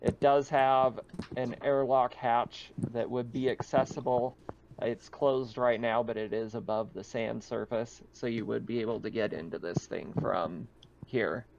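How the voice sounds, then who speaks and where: A man's voice: mid-range voice, fairly even intonation, unhurried, creaky, darkish tone. A man acting as game master, talking casually and narrating a tabletop roleplaying session. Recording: dull lo-fi with background noise.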